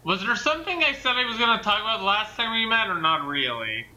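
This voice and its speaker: A man co-hosting a casual commentary, speaking in a halting, hesitant way. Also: high voice, lively intonation, sharp tone, low-quality recording. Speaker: co-host opening the show.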